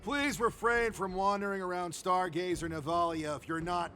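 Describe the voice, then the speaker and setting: A man's voice: sits high, normal speed, pushed and bright, soft background music. A man voicing a busy, fussy, officious dockyard staffer in a video game, with puzzled delivery.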